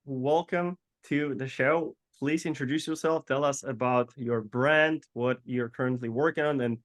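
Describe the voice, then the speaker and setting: Man host, halting speech. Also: mid-range voice, steady tone. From Poland. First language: Polish. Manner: halting, repeats words while searching for them. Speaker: podcast co-host. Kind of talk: casual interview.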